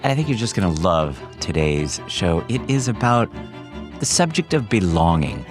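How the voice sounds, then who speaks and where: Man, podcast host, measured emphatic delivery, faint background music. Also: deep voice, sing-song. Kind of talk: scripted intro then interview chat.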